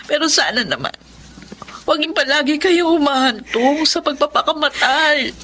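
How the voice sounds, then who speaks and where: A man's voice: very high-pitched, lively intonation, normal speed, sharp tone, a little hiss or hum, heavily acted. An actor playing a distressed grown son pleading emotionally with his father in a radio drama.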